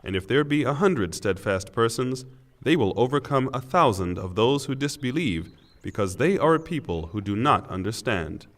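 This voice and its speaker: A man reading a scripture translation from a script in a measured, formal and solemn way. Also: mid-range voice, animated. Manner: measured, formal delivery in short phrases. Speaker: reader of English scripture translation.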